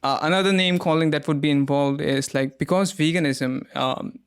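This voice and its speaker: Male host from India, somewhat high voice; conversational, animated, filler-heavy. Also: normal speed, clean tone. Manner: animated when arguing, many fillers. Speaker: podcast host. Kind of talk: conversational interview.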